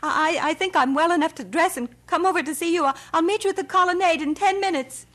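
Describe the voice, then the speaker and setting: An actress playing a shy young woman student, speaking softly and hesitantly, with small stammers, in a radio drama. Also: very high-pitched, quick pace, slight background noise. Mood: flustered. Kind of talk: 1950s radio drama acting.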